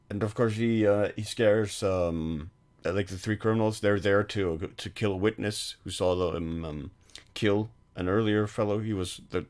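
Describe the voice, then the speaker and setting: A man co-hosting a film podcast, casually recounting scenes in a halting, piecemeal way. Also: low voice, a little mellow. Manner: halting, piecing thoughts together in fragments.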